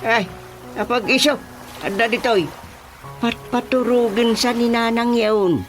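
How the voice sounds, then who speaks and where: Man as a jumpy, grumbling father over loud music. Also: extremely high pitch, fairly even intonation, unhurried. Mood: puzzled. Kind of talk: radio drama comedy acting.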